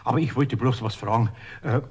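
An actor playing an anxious, kindly master carpenter with a Bavarian accent, hesitant and polite, in a children's radio play. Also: male voice, medium pitch, a little fast, darkish tone, husky. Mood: anxious, sheepish.

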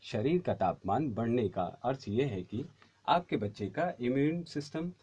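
A man's voice: mid-range voice, animated, measured pace, dark tone, breathy edge, dull audio. A man in his thirties reading scripts aloud for a voice-over audition into a studio microphone. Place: small indoor recording studio booth. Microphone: studio condenser mic with pop filter.

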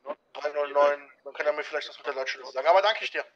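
A man's voice: fairly high pitch, very fast, dull audio, a crowd in the background. A man playing a police sergeant in a game, chatting casually and laughing easily. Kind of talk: casual roleplay chat in a game.

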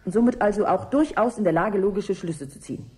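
Actress as a sceptical young woman, mellow voice. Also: medium pitch, lively intonation, close to an everyday voice. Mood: probing. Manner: sardonic, brisk, demanding. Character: sharp-witted, sceptical young female student driving deliveries. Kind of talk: radio play dialogue.

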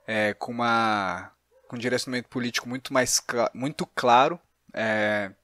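Brazilian guy podcast host, normal pitch, thoughtful casual questions, very dry sound. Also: moderate pace, sharp tone, no room sound. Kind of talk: conversational interview.

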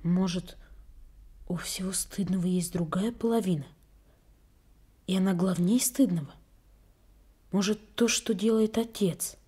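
Hushed, husky, brooding runaway boy. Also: fairly low pitch. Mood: brooding, questioning. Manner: slow, hushed, thinking aloud. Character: troubled young runaway boy, brooding and questioning. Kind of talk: Soviet radio drama monologue.

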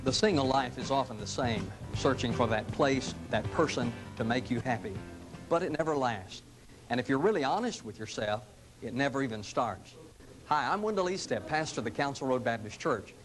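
Earnest middle-aged preacher pitching church. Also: male voice, animated, normal speed, music in the background, poor audio. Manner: earnest, gentle, inviting delivery. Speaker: pastor. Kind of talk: scripted television ad pitch. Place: studio sets and church rooms, indoors. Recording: 1980s local TV ad audio.

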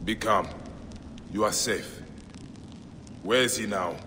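A man's voice: fairly low pitch, takes it slowly, bright, forceful tone, slight background noise. An actor playing a grave, protective ancient Egyptian lawman in a video game cutscene. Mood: calm, firm. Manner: grave, resolute, plain-spoken. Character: stern, protective ancient Egyptian lawman warrior, grown man.

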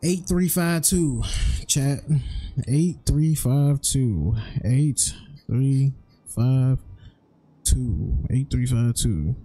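A man's voice: mid-range voice, very slow, mellow. A man streaming an online role-play game, chatting casually in slangy, repetitive phrases.

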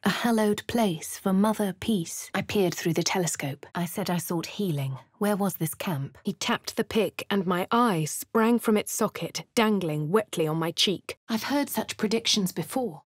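Posh composed adventurer. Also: female voice, medium pitch, a little slow, hardly any pauses, breathy edge, no echo, studio quality. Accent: posh English. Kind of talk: game character voice lines.

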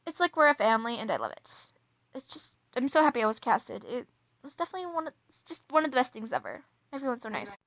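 A young woman chatting casually to viewers in a gushing, excitable, giggly manner, as an amateur voice actress promoting a project. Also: a little high, fast talker, no echo. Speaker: amateur voice actress introducing a role.

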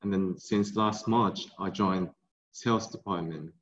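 A young man with a Japanese accent speaking halting English, often repeating words, on a video call. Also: low voice. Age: young adult. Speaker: brewery guest. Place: tasting room with bottle shelves. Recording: roomy, dull video call audio.

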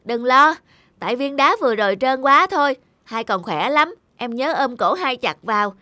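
A woman's voice: sits high, lively intonation, moderate pace, pushed and bright, dry recording. A woman voicing a devoted, protective young big brother in a children's cartoon, with an earnest, reassuring manner. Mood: straining, reassuring.

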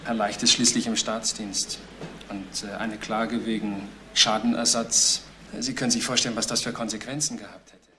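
An actor playing an earnest, reasonable adviser who explains things deliberately in a crime radio play. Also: male voice, fairly low pitch, steady tone, pushed and bright. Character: earnest, measured male adviser giving testimony. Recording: noisy old radio play recording.

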